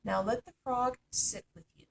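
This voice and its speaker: A woman's voice: on the high side, fairly even intonation, normal speed, vocal fry, recorded from a distance, slight background noise, low-quality recording. A woman voicing a stern storybook king and father, firm and commanding.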